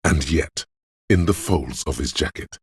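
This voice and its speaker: A man voicing the wry inner voice of a detective's sense of smell in a game, with a slow, sardonic delivery. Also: animated, no room sound, full character voice. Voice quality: deep gravelly husky voice. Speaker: voice actor for game narration. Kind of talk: scripted game voice lines. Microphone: close studio mic.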